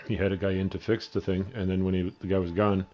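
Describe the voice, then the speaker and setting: A man's voice: low voice, flat delivery, quick pace, a little mellow. A middle-aged man with a Canadian accent, rambling and swearing through casual commentary while playing a video game. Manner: rambling and profane. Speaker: gaming video host.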